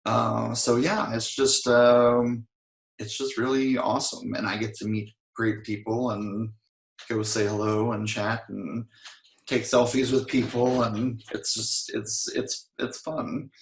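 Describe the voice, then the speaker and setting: A man being interviewed, chatting casually and a little hoarse, filling his talk with "I mean" and restarts. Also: medium pitch, flat delivery, takes it slowly, echoey room, rough recording. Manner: rambling, frequent "I mean" fillers. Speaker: interview guest, singer.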